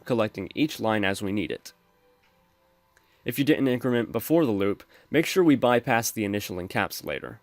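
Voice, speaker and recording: A man calmly explaining code step by step as the host of a screen-recorded programming tutorial. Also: mid-range voice, no echo. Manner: steady explanatory, addresses viewers as folks.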